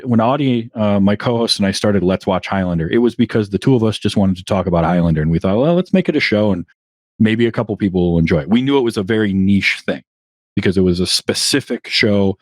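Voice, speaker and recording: Relaxed low-voiced man, dry sound. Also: no echo. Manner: relaxed, chatty, frequent fillers. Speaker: podcast guest. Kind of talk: casual interview chat.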